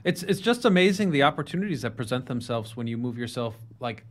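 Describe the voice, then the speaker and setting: A man's voice: mid-range voice, very animated, quick pace. A man with a mid-range voice, hosting a podcast from a studio in headphones at a studio mic. He speaks in a reflective, casual way and ties the guest's stories to his own life. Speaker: podcast host. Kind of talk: casual interview. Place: studio with neon sign.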